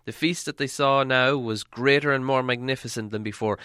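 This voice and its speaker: A man narrating a storybook from a script in a dramatic, expressive storytelling manner. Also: medium pitch, moderate pace, smooth, dry recording, studio quality. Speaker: audiobook narrator.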